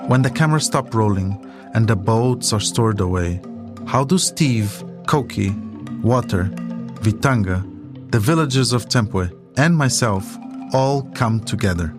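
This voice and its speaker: A man's voice: fairly low pitch, expressive, unhurried. Male documentary podcast narrator over music.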